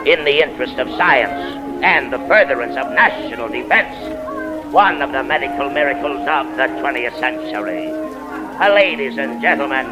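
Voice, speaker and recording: Actor as a bombastic sideshow pitchman, mid-pitched. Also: expressive, moderate pace, loud music behind the voice, close to an everyday voice, rough recording. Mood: ceremonious hype. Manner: florid ballyhoo, repeats phrases, mock-solemn. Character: male sideshow pitchman, bombastic showman presenting freak acts. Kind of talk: 1940s radio acting.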